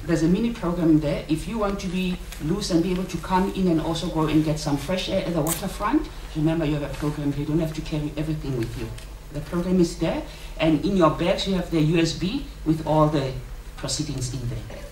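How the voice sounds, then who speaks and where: A woman's voice: low voice, fairly quick, darkish tone. A South African woman with South Sotho as her mother tongue, making informal, jokey announcements to colleagues as a conference organiser. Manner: jokey, colloquial, addressing colleagues. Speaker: conference organiser giving welcome and housekeeping announcements. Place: indoor conference venue, speaking to delegates. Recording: roomy, distant microphone, clear background noise.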